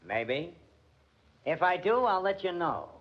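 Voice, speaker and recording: An actor playing a gruff, bullying police detective in 1940s radio style, brusque and slangy. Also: male voice, high-pitched, sing-song, takes it slowly, full character voice. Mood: suspicious, curt. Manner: brusque, slangy tough-guy talk. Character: gruff, bullying, impatient police detective who hates music. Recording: muffled, hissy 1940s radio recording.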